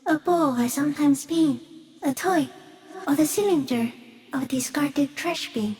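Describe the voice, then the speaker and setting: Game creature: a detached, curious stick insect. High, mellow, soft and halting. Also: female voice. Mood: detached, calm. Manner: slow, halting, deliberate musing in short fragments. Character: ancient, serene, otherworldly giant stick insect, detached and curious. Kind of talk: video game character voice acting.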